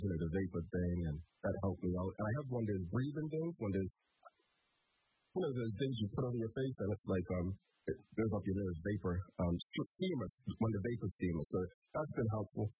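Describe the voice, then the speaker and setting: A man's voice: on the low side, dark tone, breathy edge, low-quality recording. A man hosting a morning talk show, stuffed up from a cold, chatting loosely with many fillers.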